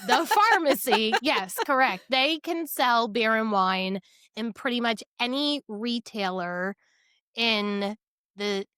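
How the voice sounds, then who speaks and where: Bright-voiced American woman, casual co-host. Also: a little high, slow, clean tone, no echo. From New Jersey, United States. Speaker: podcast co-host. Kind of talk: casual chat.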